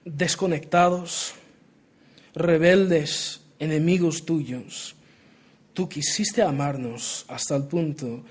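A man's voice: a little high, darkish tone, husky. A young man raised in Portugal preaching, his Spanish accented by Portuguese and American English; earnest, with many restarts. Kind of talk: sermon, Bible reading and prayer.

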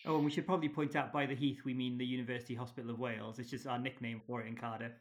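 A man hosting a podcast interview, relaxed and hesitant, with many fillers. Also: medium pitch, racing. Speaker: podcast host. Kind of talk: casual interview chat.

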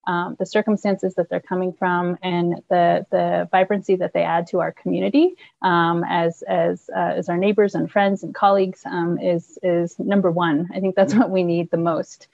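Gravelly woman, agency staffer, hesitant and full of fillers. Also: on the low side, little pitch movement, normal speed, hardly any pauses, darkish tone, dry recording, muffled recording. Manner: hesitant, many ums and restarts. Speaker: refugee resettlement staff member. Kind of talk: news interview.

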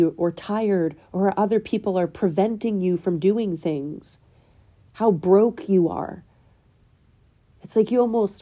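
Female podcast host, slightly nasal from a cold, very dark mellow tone, earnest self-help monologue. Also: fairly low pitch. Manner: earnest, probing rhetorical questions. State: getting over a cold.

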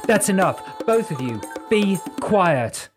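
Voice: medium pitch, expressive, moderate pace, music in the background, natural voice. A reader voicing a frustrated, defiant young woman in an audiobook, emphatic and exasperated in manner.

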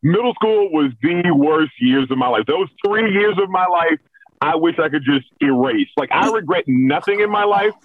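A middle-aged American man chats candidly in an interview, with a self-deprecating streak. His pitch sits a little high for a man. The sound is close and dry but thin and narrow, like a phone call. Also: animated, normal speed, no room sound. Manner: candid, self-deprecating humour, chuckles often. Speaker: host of a children's story reading show, being interviewed. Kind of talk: casual conversational interview.

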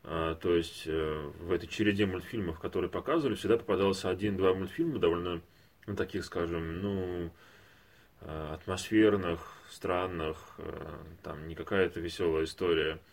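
Deep male voice, podcast guy thinking out loud, measured and halting, kinda bookish. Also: fairly even intonation. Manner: halting, measured, intellectual phrasing. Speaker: podcast co-host or panelist. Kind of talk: unscripted analytical discussion.

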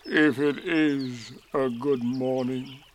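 A man's voice: medium pitch, fairly even intonation, slight background noise, lightly acted. A man voicing a gloomy, self-pitying old grey donkey from a children's storybook, drawing out words with mournful sarcasm. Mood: glum, doubting. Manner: slow, drawn-out, mournful and sarcastic delivery.

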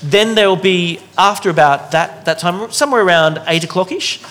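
A man's voice: high voice, moderate pace, a bit bright. A man hosting a dinner discussion, talking loosely and casually with many fillers and restarts. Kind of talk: casual welcoming talk to a group.

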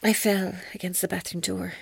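Tormented young Irish woman, hesitant and husky. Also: fairly low pitch, flat delivery, no room sound, full character voice. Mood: flustered, embarrassed. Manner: hesitant, halting, guarded, breaking off mid-sentence. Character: tormented, evasive young woman giving police her story. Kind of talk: naturalistic audio drama acting.

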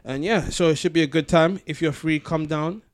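A man hosting a casual podcast, excitable and slangy, often repeating words as he bantering with friends. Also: fairly high pitch, brisk, dry recording, studio quality. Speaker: podcast host.

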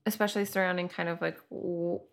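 A woman's voice: lowish voice, steady tone, brisk, creaky. A woman co-hosting a podcast, talking in a supportive, reflective way and agreeing often. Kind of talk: casual podcast chat.

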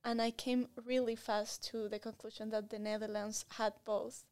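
A woman in her thirties with a Latin American Spanish accent, a native Spanish speaker, answering interview questions in an enthusiastic, expressive, conversational way. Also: on the high side, moderate pace, clear, resonant tone. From Colombia and Spain. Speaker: interview guest.

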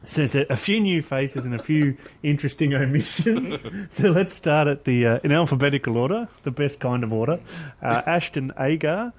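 An Australian man with a fairly high voice hosts a casual cricket podcast over a call. He teases his co-hosts, rattles through lists of names and fake sponsors, and keeps the tone playful. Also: lively intonation, normal speed, hardly any pauses. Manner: jokey, rattles off lists and mock introductions. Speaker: podcast host. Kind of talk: casual banter with mates. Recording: online call audio.

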